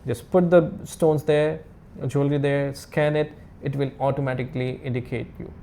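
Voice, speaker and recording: A man with a creaky, mellow voice explains things as an expert guest. His English is halting and repetitive, with non-native phrasing, and a faint low rumble of noise sits behind him. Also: steady tone. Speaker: diamond expert, interview guest. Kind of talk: casual expert explanation in interview.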